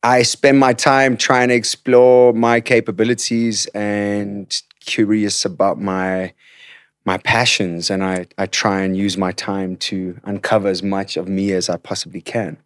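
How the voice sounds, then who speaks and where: Low-ish young guy, portuguese-born, laid back on a podcast, dry close mic. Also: little pitch movement, unhurried, clear, resonant tone, dry recording. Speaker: podcast guest. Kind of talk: casual chat. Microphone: studio mic.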